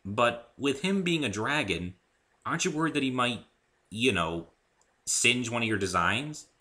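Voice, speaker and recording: A man's voice: medium pitch, expressive, measured pace, pushed and bright, pitched above the performer's own voice. A man playing a polite, slightly awkward human hero in a story reading, casual and a little wary. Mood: wary, curious.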